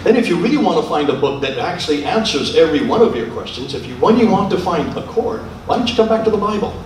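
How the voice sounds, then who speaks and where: A man's voice: high-pitched, big pitch swings, fast, darkish tone. A man lecturing and debating in public, assertive and pressing in the way he talks. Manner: assertive, pressing with repeated questions. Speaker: lecturer and debater taking audience questions. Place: large echoey lecture room. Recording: very echoey hall, distant mic, faint noise.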